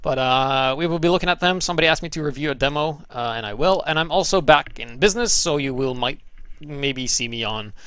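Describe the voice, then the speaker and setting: Young man from Norway, host of a game replay show, casual and profane, bright edgy tone, fairly high voice, dry close sound. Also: fairly quick, clean tone, dry recording. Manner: loose, profane and sarcastic. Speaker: game commentator. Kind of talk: casual commentary over gameplay replays.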